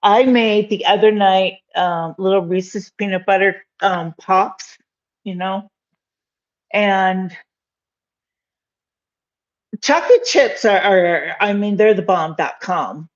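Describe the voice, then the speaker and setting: Slow, giggly woman in a home kitchen. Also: medium pitch. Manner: rambling, giggly, lots of fillers. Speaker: livestream cooking host. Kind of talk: casual live cooking stream. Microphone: phone camera on counter. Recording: dull lo-fi phone audio.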